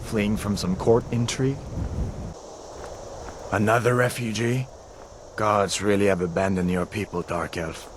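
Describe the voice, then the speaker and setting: Actor as low-voiced, dutiful soldier. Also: male voice, steady tone, unhurried, pauses often, lightly acted. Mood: questioning, wary. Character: dutiful, sympathetic male Imperial soldier with prisoner list. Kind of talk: video game character acting. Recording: noisy outdoor game scene ambience.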